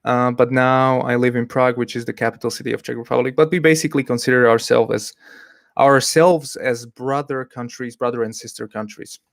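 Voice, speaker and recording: A man's voice: mid-range voice, steady tone, brisk, gravelly, dry recording, studio quality. A man from Slovakia, hosting a casual livestream, talking hesitantly and reading out chat messages. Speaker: livestream host.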